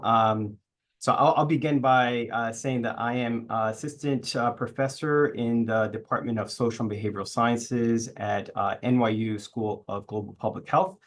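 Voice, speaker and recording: A man from New York City introducing himself as a panelist on an online webinar, pausing often as he speaks. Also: medium pitch. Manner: hesitant, many uh fillers and restarts. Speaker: panelist, public health professor.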